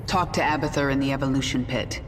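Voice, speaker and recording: A woman's voice: rumbling low, fairly even intonation, fast talker, background noise. A woman playing a cold, vengeful queen of an alien swarm in a video game, speaking in an imperious, terse, controlled way. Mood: curt. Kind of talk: dramatic video game acting.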